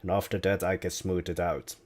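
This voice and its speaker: A man's voice: deep voice, little pitch movement, a little fast, darkish tone. A young man whose first language is Dutch, chatting casually on a live stream as he builds, musing aloud and answering viewers' questions.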